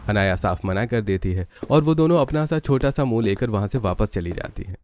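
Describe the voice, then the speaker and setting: A man's voice: fairly low pitch, lively intonation, brisk. A man narrating a scripted cartoon family story as the storyteller. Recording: dull lo-fi narration, faint noise.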